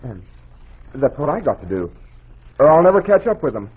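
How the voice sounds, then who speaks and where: An actor playing an earnest, pleading young buffalo hunter in an old-time radio drama. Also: male voice, a little high, very animated, moderate pace, breathy edge, full character voice. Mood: tender, pleading. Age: young adult. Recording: muffled, tinny old radio recording.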